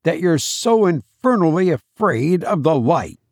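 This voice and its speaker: A man's voice: medium pitch, sing-song, takes it slowly, creaky, warm tone, dry recording, clean studio sound, full character voice. A man voicing an astonished, impatient sailor on night watch, demanding answers in an audiobook. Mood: snappish. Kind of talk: audiobook character acting.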